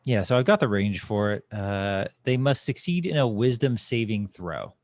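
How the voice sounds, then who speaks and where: A man playing a tabletop game, asking the game master careful, deliberate rules questions. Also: lowish voice, expressive, a little mellow. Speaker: player. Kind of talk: casual tabletop play.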